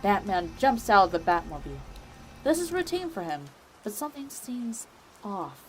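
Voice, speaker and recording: A woman's voice: mid-range voice, sing-song, normal speed, faint music behind, low-quality recording. A woman reading scripted narration for a dramatic audio story.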